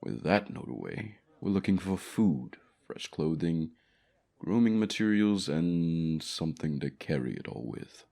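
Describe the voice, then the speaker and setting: A man's voice: low-pitched, sing-song, unhurried, gravelly, dark tone, heavily acted. A man voicing a haughty, commanding dragon in a fantasy ASMR roleplay, speaking formally with pompous condescension. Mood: stern, commanding. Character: haughty male dragon, commanding keeper of a human pet.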